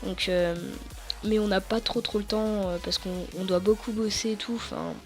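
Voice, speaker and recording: A teenage girl from France recording a casual computer tutorial at home, a bit hoarse, rambling with many fillers and restarts. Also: mid-range voice, fairly even intonation, normal speed, soft background music. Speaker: tutorial YouTuber.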